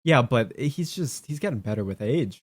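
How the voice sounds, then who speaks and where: A man's voice: mid-range voice, animated, moderate pace, breathy edge. A man hosting a casual political chat show, talking in a blunt, dismissive way. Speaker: podcast host.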